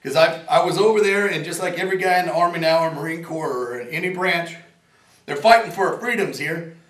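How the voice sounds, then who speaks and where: A man in his forties with a raspy voice, an inventor talking in a casual, rambling and earnest way, sometimes joking about himself. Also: fairly high pitch, fairly quick, a bit bright. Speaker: inventor and veteran interviewee. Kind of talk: casual interview talk. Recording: roomy echo, from a distance.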